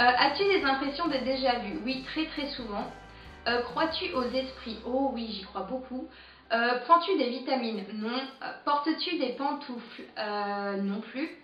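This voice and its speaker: A woman's voice: on the high side, fairly bright tone. Hesitant young French woman, roomy and distant. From France. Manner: chatty, many hesitant "euh" fillers. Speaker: vlogger. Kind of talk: casual Q&A tag video. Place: home in England. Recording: roomy, distant, dull sound with music.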